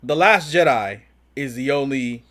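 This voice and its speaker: A man's voice: mid-range voice, a little slow, sharp tone. A man co-hosting a casual fan discussion, speaking in an informal, slangy way. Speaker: podcast co-host.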